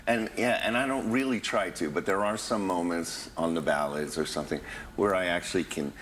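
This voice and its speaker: A man's voice: low-pitched, fairly quick, fairly bright tone, slight background noise. A man, an actor, being interviewed as a guest in a TV studio, talking eagerly and expressively about his show.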